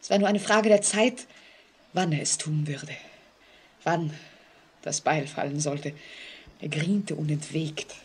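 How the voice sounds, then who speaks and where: An actress playing an anxious, distrustful woman in an old German radio play, speaking haltingly with suspenseful drama. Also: low voice, a little hiss or hum. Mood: agitated fear. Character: frightened, haunted woman living alone, anxious and distrustful. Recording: vintage 1940s radio recording.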